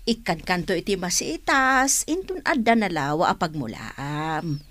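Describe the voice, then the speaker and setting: An actress playing a generous older aunt who gives patient advice, in an Ilocano radio drama with an Ilocano accent. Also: female voice, on the low side, very animated, measured pace, fairly bright tone, exaggerated voice.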